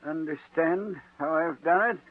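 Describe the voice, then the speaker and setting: On a thin, phone-like vintage radio recording, a shrewd old invalid uncle. He speaks very slowly in a fairly high male voice, sardonic and needling, as in classic radio drama. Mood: pointed, accusing. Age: older. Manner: deliberate, sardonic, probing. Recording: tinny, band-limited old radio recording.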